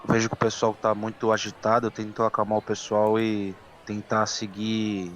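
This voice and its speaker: A man's voice: on the low side, monotone, normal speed. A Brazilian man playing a tabletop RPG, casually telling what his character does and says in first person. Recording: dull, lo-fi microphone sound.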